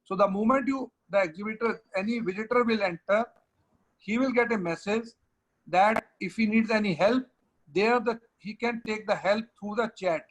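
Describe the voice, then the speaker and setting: A man's voice: high voice, normal speed, poor audio. A man with an Indian English accent hosting an online webinar, explaining a virtual trade fair and giving directions to a colleague. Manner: directs a colleague, switches between English and Hindi. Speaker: webinar host from the organizing body.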